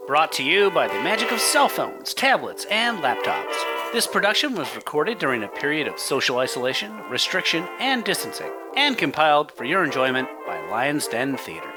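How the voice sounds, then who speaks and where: A man's voice: fairly high pitch, very animated, moderate pace, hardly any pauses, low-quality recording. A man announcing in the style of a 1940s radio sponsor read, with music behind.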